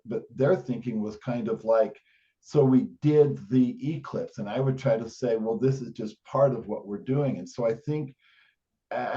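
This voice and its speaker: A man's voice: medium pitch, mellow. A man talking informally in a meeting, rambling and self-deprecating. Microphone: group teleconference call. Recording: dull lo-fi conference call audio.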